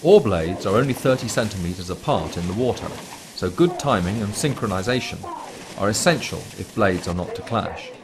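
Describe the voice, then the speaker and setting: A man narrates a documentary in a fairly low voice with lively, varied intonation. His delivery is measured, formal and explanatory, read from a script. There is clear background noise on the recording.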